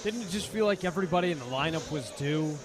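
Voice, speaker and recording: A man's voice: a little high, animated, fast, over music. A man working as color commentator on a live baseball radio broadcast, explaining the game in a reflective, conversational way. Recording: live ballpark broadcast with clear background noise. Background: outdoor ballpark ambience.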